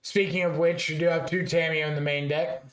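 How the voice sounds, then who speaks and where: A man with a slightly raspy voice talks through card game strategy in a relaxed, casual way, thinking aloud with fillers as he goes. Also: fairly high pitch, fairly quick, echoey room. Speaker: card game content creator.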